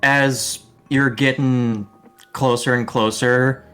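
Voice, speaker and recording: A man's voice: medium pitch, fairly even intonation, slow, a bit bright, clean tone. A man acting as game master, improvising narration for a tabletop roleplaying game.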